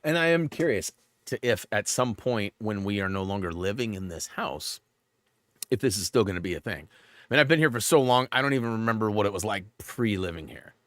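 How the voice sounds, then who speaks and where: A man's voice: on the low side, expressive, fairly quick, a bit bright, no echo, clean studio sound. A man hosting a gaming livestream in a rambling, casual way, full of fillers, and a bit stuffed up. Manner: rambling, filler-heavy, lots of "like". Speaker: livestream host.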